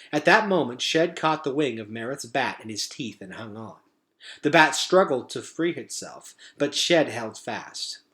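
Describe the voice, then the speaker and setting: A man reads an adventure novel aloud in a mid-pitched voice. His delivery is very animated and full of suspense, swinging up and down like a storyteller holding young listeners. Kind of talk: dramatic children's novel read-aloud.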